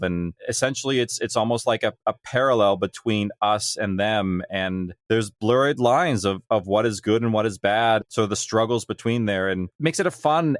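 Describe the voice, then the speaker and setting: A man's voice: mid-range voice, dry recording, studio quality. A man around his thirties or forties, explaining his creative work as a guest in an interview. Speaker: podcast guest, audio drama creator. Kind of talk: interview conversation, explanatory storytelling.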